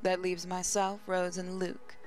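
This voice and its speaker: A woman's voice: lowish voice, monotone, measured pace, darkish tone. A woman hosting a gaming channel, reading visual novel narration aloud, with faint music behind her.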